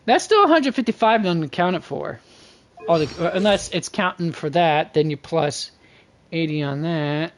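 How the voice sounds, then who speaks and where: A man with a slightly high voice streams a game. He thinks out loud in a folksy, muttering way with mild slang, over faint background noise. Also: lively intonation, a little slow. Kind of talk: casual livestream commentary, thinking aloud.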